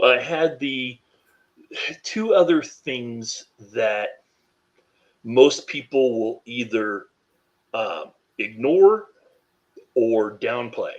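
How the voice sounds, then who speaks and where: A deep-voiced man from North Carolina, a podcast guest speaking candidly and reflectively, with frequent "you know" fillers. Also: expressive, very slow, pauses often, fairly bright tone, highs cut off. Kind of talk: candid interview conversation.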